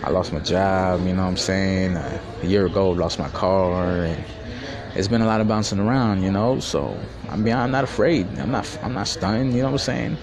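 A man in his twenties hosting a casual solo podcast from a fast-food restaurant, talking in boastful, profane street slang. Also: low-pitched. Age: 20s. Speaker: podcast host. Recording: crowded restaurant chatter behind. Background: restaurant crowd chatter.

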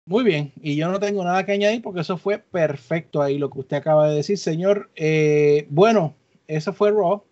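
A man with a moderately high voice co-hosts a podcast in a casual, conversational style. The recording is dull and lo-fi. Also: normal speed, a little mellow, no echo. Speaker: wrestling podcast co-host. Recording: dull lo-fi audio.

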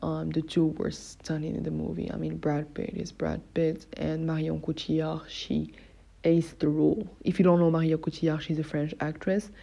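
Low, mellow-voiced woman podcaster. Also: little pitch movement, moderate pace, creaky, husky. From Morocco. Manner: chatty, with many fillers and self-corrections. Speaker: podcast host. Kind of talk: casual solo podcast talk. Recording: dull lo-fi recording.

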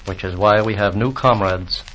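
An actor playing a weary, solemn fallen American soldier reciting verse in a 1940s radio drama. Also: male voice, lowish voice, normal speed, fairly bright tone, lightly acted. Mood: solemn, grave. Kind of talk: 1940s radio acting, blank verse monologue. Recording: faintly noisy 1940s radio recording.

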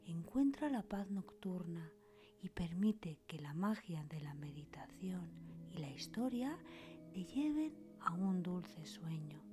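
Whispering woman, very unhurried meditation guide. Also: on the low side, sing-song. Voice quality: breathy whisper. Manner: soothing, unhurried pauses between short phrases. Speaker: meditation podcast host. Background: soft meditation music with singing bowls.

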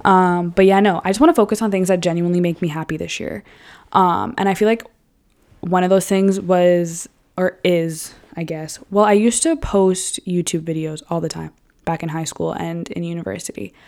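A young woman in her early twenties rambles frankly to her viewers, dropping curse words and a steady stream of "like." Her voice sits low and sounds warm and mellow. Her delivery stays loose and conversational throughout. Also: normal speed. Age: 20s. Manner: chatty, frank and profane, lots of "like". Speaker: vlogger. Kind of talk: casual talk to camera.

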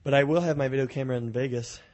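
A man's voice: mid-range voice, steady tone, racing, darkish tone. A young man hosting a casual podcast. He is getting over being sick and is losing his voice, and he jokes and banters with his co-hosts. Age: young adult. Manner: joking, banters back and forth. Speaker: podcast host.

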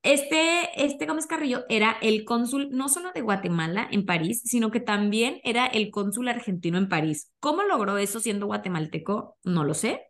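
A woman's voice: a little high, expressive, normal speed, few pauses, fairly bright tone. Young Mexican woman, chatty and gossipy. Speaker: podcast host. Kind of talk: casual conversational storytelling.

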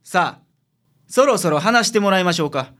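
A man's voice: high voice, animated, moderate pace, fairly bright tone. A man playing a shrewd, blunt, laid-back male private detective with dry, probing delivery in a radio drama.

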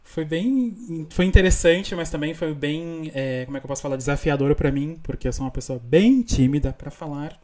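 A man's voice: fairly high pitch, normal speed, few pauses, warm tone. Chatty Brazilian man hosting a podcast. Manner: chatty, laughs often, many restarts. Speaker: podcast host. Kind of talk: casual chat.

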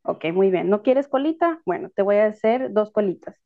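A woman's voice: mid-range voice, lively intonation, normal speed, narrow, tinny audio. A woman in her twenties from Guatemala with a Guatemalan Spanish accent, an outgoing guest in a group discussion. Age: 20s. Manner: outgoing, upbeat.

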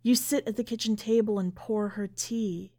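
Woman reading in a measured literary podcast style. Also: medium pitch, fairly even intonation, dark tone. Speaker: podcast host, editor and story reader. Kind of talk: literary story narration and podcast commentary.

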